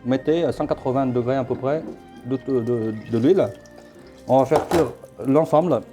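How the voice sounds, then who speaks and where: A middle-aged man from Vietnam, a chef demonstrating dishes in his restaurant kitchen, talking haltingly with many fillers. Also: medium pitch. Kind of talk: cooking demonstration. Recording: faint music behind.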